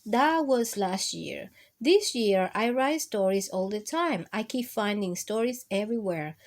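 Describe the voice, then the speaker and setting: A woman hosting a children's storytime, reading picture books aloud expressively with drawn-out emphasis for young listeners. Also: mid-range voice, animated. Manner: drawn-out, emphatic delivery addressed to small children.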